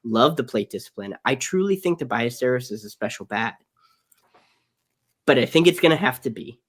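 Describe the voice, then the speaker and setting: A man's voice: medium pitch, lively intonation, brisk, breathy edge. A man co-hosting a casual baseball podcast over a video call, strained as if losing his voice, talking through his analysis earnestly. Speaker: co-host, prospect analyst. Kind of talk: casual baseball prospect discussion.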